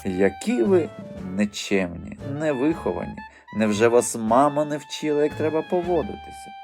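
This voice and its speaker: A man's voice: medium pitch, huge pitch swings, moderate pace, a little mellow, soft background music, voice pushed up. A man voicing a sly, mocking teenage street-gang boy in an audiobook, sneering with fake politeness and swaggering slang.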